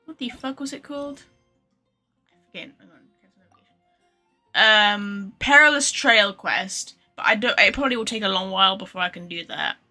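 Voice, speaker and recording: A woman's voice: medium pitch, normal speed, pauses often, pushed and bright, faint music behind. A woman livestreaming a video game, chatting casually to viewers in a rambling, informal, sweary way.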